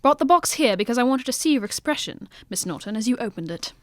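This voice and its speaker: A shrewd gentleman detective acted by a woman in a radio mystery drama, composed and commanding. The voice is on the high side and recorded close and dry, with formal, measured, old-world phrasing. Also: expressive, no echo, lightly acted. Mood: knowing calm.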